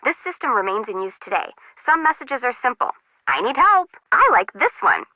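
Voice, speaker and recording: A woman's voice: a little high, big pitch swings, moderate pace, sharp tone, no room sound, muffled recording, heavily acted. An actress playing a chatty, disorganized, slangy young female harbor worker in a video game, bubbly and wry. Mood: enthusiastic explaining.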